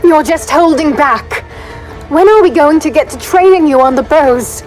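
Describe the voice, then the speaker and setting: A woman playing a sharp-tongued, playful warrior in a fantasy audio drama, with sarcastic, teasing delivery. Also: high-pitched, animated, moderate pace, faint music behind, poor audio. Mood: playful challenging.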